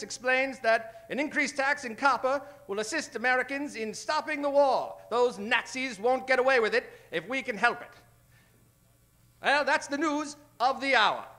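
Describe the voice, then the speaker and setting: Excitable vintage newsreel announcer, very high pitched, bright, bombastic delivery. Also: male voice, normal speed. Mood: grandly upbeat. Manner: declamatory, over-emphatic. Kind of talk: parody 1940s newsreel narration.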